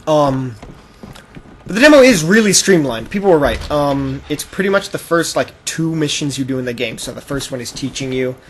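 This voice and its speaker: A man's voice: fairly high pitch, animated, moderate pace, a bit bright. Man chatting casually over noisy game audio. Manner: rambling, explanatory, acts out imagined quotes. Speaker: gaming podcast host. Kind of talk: casual chat while playing a video game. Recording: noisy amateur podcast recording with game audio. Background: video game sound.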